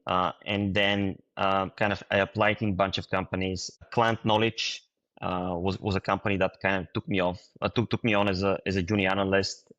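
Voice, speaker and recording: A man from Bulgaria, a startup founder answering interview questions in a halting way, with frequent restarts and fillers. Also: low-pitched, fairly bright tone, no echo, muffled recording.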